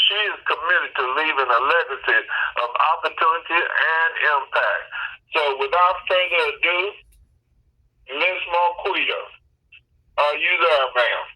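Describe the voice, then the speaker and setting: A man hosting a conference call, briskly reading a formal introduction of the guest. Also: fairly high pitch, sharp tone, husky, poor audio. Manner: brisk, formal announcer delivery. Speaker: call host.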